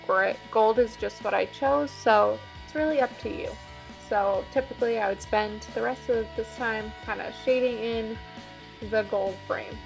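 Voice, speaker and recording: A woman's voice: fairly high pitch, normal speed, vocal fry, soft background music, poor audio. A woman working as an art teacher, casually explaining a drawing demonstration step by step as she works.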